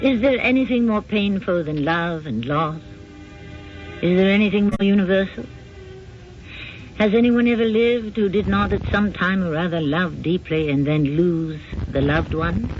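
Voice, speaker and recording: High-voiced theatrical radio host, a man. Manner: deliberate, philosophical. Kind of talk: scripted radio narration, theatrical and rhetorical. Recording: dull old radio recording, faint noise.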